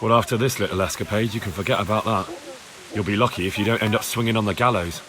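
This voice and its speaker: An actor playing a young, firm and blunt medieval agent of a lord in a video game cutscene, speaking plainly and assertively. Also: male voice, fairly low pitch, quick pace, a crowd in the background, natural voice. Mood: stern. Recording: echoey hall reverb, noisy background.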